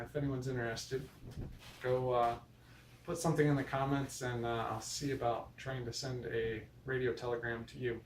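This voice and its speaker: An American man talking casually to viewers in a rambling monologue, hesitant and thinking aloud as he goes. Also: mid-range voice. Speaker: vlogger. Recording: echoey room, distant mic, faint noise.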